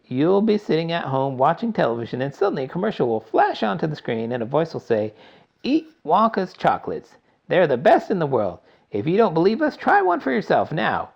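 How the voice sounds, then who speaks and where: A man reading aloud and voicing an eccentric, excitable male chocolate maker in a high theatrical voice. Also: vocal fry, full character voice. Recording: dull lo-fi recording.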